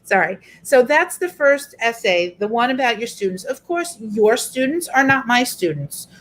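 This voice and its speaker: A woman's voice: fairly high pitch, sing-song, normal speed, fairly bright tone, slight background noise, rough recording. A middle-aged woman teacher, walking a group through an online workshop in a chatty, explanatory way. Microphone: video call.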